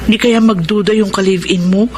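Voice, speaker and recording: A woman's voice: medium pitch, steady tone, normal speed, lightly acted. A woman acting a worried, frank female friend giving caution in a Filipino radio drama, with a Filipino accent. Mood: concerned, teasing.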